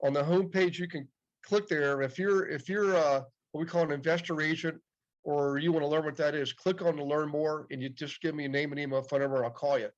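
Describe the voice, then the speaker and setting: A man's voice: on the high side, fairly even intonation, brisk. A man in his late fifties, a podcast guest telling stories in a casual, chatty way, often answering "yep". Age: 50s. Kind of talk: casual storytelling interview. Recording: dull, muffled audio.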